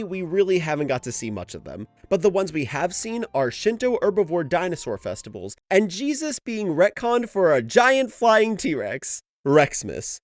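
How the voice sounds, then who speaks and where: A man's voice: fairly high pitch, a little mellow, no room sound. A man narrating a scripted fan lore video, with informal, wry, self-questioning asides. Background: music.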